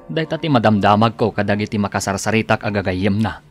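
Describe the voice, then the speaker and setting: A man's voice: on the low side, lively intonation, fast, soft background music, natural voice. A man playing a concerned, disapproving relative passing on bad news in a radio drama, with an Ilocano accent.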